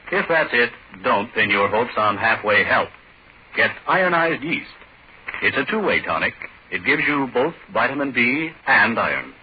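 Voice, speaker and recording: A man working as a radio announcer, reading a scripted advertisement in 1940s style. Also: lowish voice. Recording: muffled 1940s radio, faint hiss.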